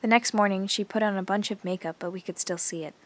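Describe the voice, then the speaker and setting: A woman's voice: mid-range voice, fairly even intonation, fast talker, no room sound, lightly acted. A woman playing an earnest, observant teenage girl who narrates her diary in an audio drama. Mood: confiding.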